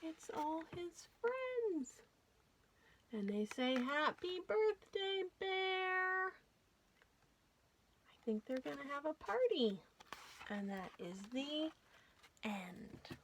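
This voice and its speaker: Woman, high voice, animated sing-song storytime reader for young children, noticeable background noise. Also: drawn-out words, pauses often. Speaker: children's librarian hosting storytime.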